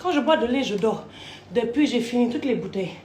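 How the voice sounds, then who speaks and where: A woman's voice: on the high side, lively intonation, fairly quick, very noisy. A woman from Côte d'Ivoire ranting in a rambling, crude way, swearing as she tells a long personal story. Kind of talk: rambling live rant. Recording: roomy echo.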